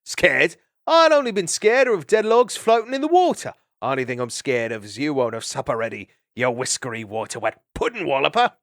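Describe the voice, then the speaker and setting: A man voicing a cheeky young male mouse thief who laughs and yells playful insults. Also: medium pitch, big pitch swings, a little slow, dry recording, studio quality, pitched above the performer's own voice. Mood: roaring taunts. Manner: boisterous, laughing, insult-hurling.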